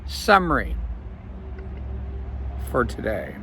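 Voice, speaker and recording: Slow, gravelly-voiced man reflecting outdoors. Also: mid-range voice, huge pitch swings, bright, forceful tone, slight background noise. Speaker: life coach. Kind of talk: reflective evening vlog. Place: outdoors in the evening.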